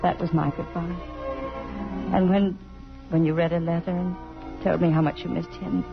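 An actress playing a wistful, self-sacrificing lover in a 1950s radio drama, speaking in a measured, earnest way. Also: female voice, on the low side, level tone, vocal fry. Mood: resigned, tender. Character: wistful, self-sacrificing woman in a doomed love affair. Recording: dull vintage radio, music behind.

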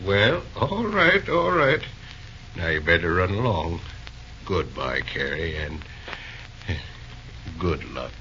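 An actor playing a folksy, tender-hearted old railroad engineer in a vintage radio drama, halting and stammering with feeling. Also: male voice, low voice, big pitch swings, pushed and bright, full character voice. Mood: fond, wistful. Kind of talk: 1930s-40s radio drama acting. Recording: dull old radio recording, faint hiss.

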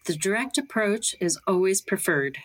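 A woman's voice: mid-range voice, lively intonation, normal speed, natural voice, rough recording. An actress playing a suave, playful lady thief in an audio drama, with a poised manner. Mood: playful, assured.

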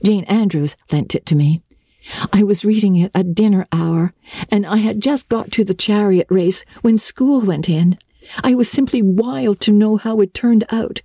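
A woman's voice: mid-range voice, warm tone, breathy edge, no room sound, clean studio sound. A woman voicing an earnest, talkative thirteen-year-old girl in a dramatic storybook reading, with breathless, solemnly emphatic delivery.

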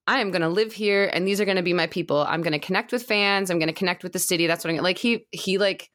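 A woman's voice: lowish voice, fast talker, a bit bright. A woman chatting casually and enthusiastically as a co-host of a baseball fan podcast. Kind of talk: casual chat.

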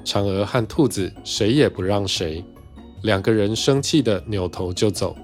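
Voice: deep voice, measured pace, faint music behind. A storyteller narrating a scripted children's tale in simple storybook phrasing.

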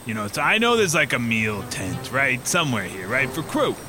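A man playing a chummy, shifty working-stiff reality show contestant who talks in a halting, wheedling way. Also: medium pitch, very animated, music in the background, natural voice. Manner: halting, wheedling, repeatedly seeking agreement.

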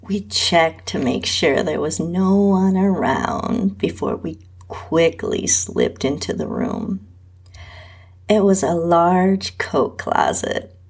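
A woman's voice: lowish voice, sing-song, slow pace, a little mellow, slightly rough. A woman host narrating an intimate first-person erotic story.

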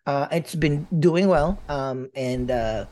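A man giving personal updates in a casual chat, rambling and halting with many fillers and casual swearing. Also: on the high side, darkish tone.

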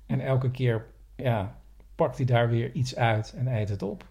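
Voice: medium pitch, a little slow, a little mellow, slightly rough. A podcast host, chatting casually on location.

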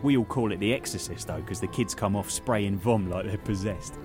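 An actor playing a cheeky, cynical young British park worker, colloquial and teasing, in audio drama dialogue. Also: male voice, lowish voice, fairly quick, over music, close to an everyday voice. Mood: matter-of-fact.

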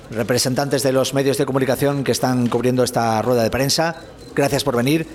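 A man's voice: medium pitch, a little hiss or hum, lightly acted. A man with an accent from Spain playing a proud, courteous space agency spokesman, giving a formal, polite press conference address in an audio drama. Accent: Spanish from Spain.

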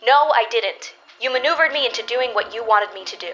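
A fairly high-pitched superheroine played by a woman, indignant and principled. She speaks emphatically and confrontationally, in audio drama style. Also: a little fast, a bit bright, muffled recording, faint music behind, close to an everyday voice. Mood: angry, resolute.

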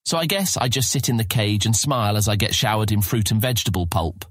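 An actor playing a young, skeptical new guildmaster of thieves in a fantasy audio drama, with a dry, questioning, sardonic manner. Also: male voice, on the low side, fast talker, fairly bright tone, no echo. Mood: wry, bitter.

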